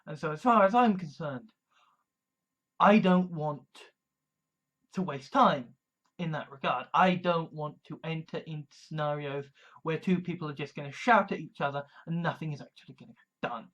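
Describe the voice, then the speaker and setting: A man from England, giving a measured, deliberate monologue full of restarts and fillers. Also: fairly high pitch, a bit bright, dull audio. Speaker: video commentator. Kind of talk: casual monologue.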